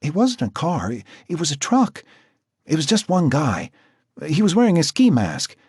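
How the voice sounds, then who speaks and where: A man's voice: wildly swooping pitch, a little mellow, slightly rough, no room sound, clean studio sound, full character voice. A man doing a frightened tearful runaway girl. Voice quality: high, trembling, halting. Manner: stammers and sobs.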